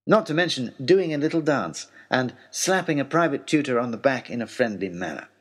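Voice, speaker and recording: A man playing an earnest, eager young gentleman adventurer in an audio story, narrating a letter in a formal, wordy, wry way with deliberate pauses. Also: medium pitch, expressive, breathy edge. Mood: bemused, eager.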